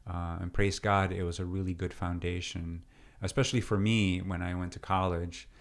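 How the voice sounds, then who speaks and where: A man in his thirties, originally from Peru, talking through his life story as a guest in a relaxed interview, with many fillers and restarts. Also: low voice, normal speed. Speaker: deacon and seminarian, interview guest. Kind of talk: casual interview conversation.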